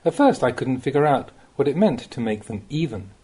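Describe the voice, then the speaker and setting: A man reading a Buddhist teaching aloud as an audiobook, as a monk would, with measured and expressive phrasing. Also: mid-range voice, breathy edge. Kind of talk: read-aloud Dhamma talk, audiobook narration.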